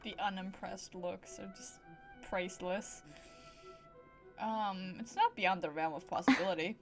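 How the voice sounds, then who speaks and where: A woman's voice: mid-range voice, expressive, a little slow. A woman streaming a game with casual commentary, playfully dropping short reactions. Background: game music.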